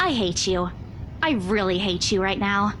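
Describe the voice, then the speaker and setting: A woman's voice: medium pitch, unhurried, fairly bright tone, slight background noise, natural voice. A woman playing an exasperated, sarcastic young real estate agent in a visual novel, bantering emphatically. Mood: annoyed.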